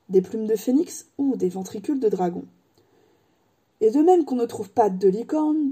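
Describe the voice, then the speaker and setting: A woman voicing an eccentric old male wand maker in an audiobook, speaking slowly and deliberately in a hushed tone that turns sharp. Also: fairly high pitch, husky. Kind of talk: audiobook character voice.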